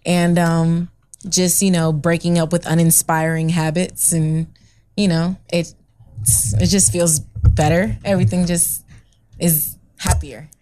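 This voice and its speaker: A woman's voice: lowish voice, little pitch movement, unhurried, dark tone. A young adult woman, a guest actress, chatting in a friendly, giggly way with lots of fillers. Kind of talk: casual interview.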